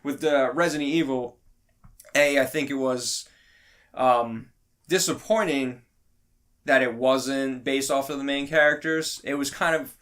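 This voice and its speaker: A man with a medium-pitched voice in a casual panel discussion. He talks as a podcast co-host, rambling a little and working out his opinions aloud. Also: steady tone, measured pace, fairly bright tone. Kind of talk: casual panel discussion about films and games.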